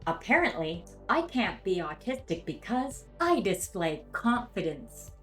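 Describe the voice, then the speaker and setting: A woman's voice: mid-range voice, very animated, a little slow, a bit bright. A middle-aged woman hosting a dramatic reading of comments in a theatrical, sarcastic manner. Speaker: host. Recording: music playing behind the voice. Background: background music.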